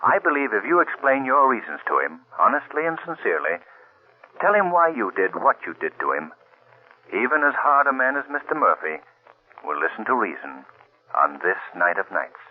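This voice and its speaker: An actor playing a mysterious invisible crime-fighter in an old radio drama, speaking calmly and with authority. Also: male voice, mid-range voice, moderate pace, husky. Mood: calm, commanding. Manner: calm, solemn, commanding. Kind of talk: 1940s radio acting. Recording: tinny lo-fi old radio recording.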